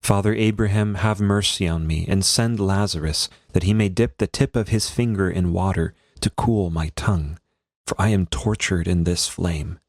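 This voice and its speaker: Male priest, very low voice, solemn devotional reading, formal measured diction, very dry sound. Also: no echo.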